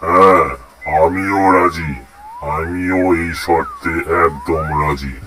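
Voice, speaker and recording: A man's voice: low-pitched, slow pace, music in the background. A man voicing a boastful, hot-headed tiger who thinks himself a king in a children's cartoon, with a pompous, commanding manner. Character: boastful, hot-headed male tiger claiming jungle kingship. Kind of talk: children's cartoon voice acting in Bengali fable.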